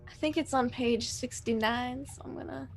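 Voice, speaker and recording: High-voiced woman playing a tabletop game. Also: fairly even intonation, normal speed, creaky, faint music behind. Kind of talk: casual game chat.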